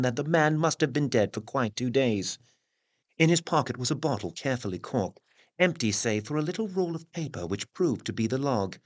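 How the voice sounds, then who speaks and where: A man playing a grave newspaper reporter in an audio drama, narrating in a formal, measured, solemn manner. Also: mid-range voice, fairly bright tone, lightly acted.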